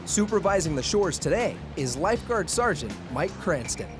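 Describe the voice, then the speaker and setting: A man's voice: high voice, sing-song, normal speed. A man reading scripted documentary narration in a voice-over. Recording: music bed under voice-over.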